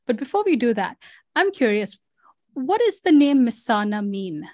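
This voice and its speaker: A woman's voice: on the high side, big pitch swings, moderate pace, a little mellow, dry recording, studio quality. A woman hosting a podcast, reading a composed scripted introduction and then asking her guest questions. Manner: clear, composed, scripted delivery. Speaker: podcast host.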